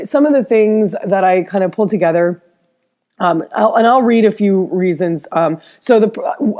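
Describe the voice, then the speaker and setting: Woman vlogging, bit stuffy, rambles and restarts a lot, lower warm voice. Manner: rambling, halting, many restarts. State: stuffed-up nose, slight cough. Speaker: interior designer vlogger. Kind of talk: casual personal vlog.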